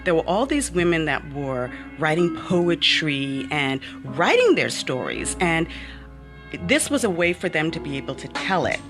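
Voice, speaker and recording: A woman's voice: sits low, sing-song, measured pace, over music. A woman raised in the Bahamas, an author speaking as an interview guest, earnest and reflective with frequent ums. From born in Miami, raised in the Bahamas. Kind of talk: personal interview conversation.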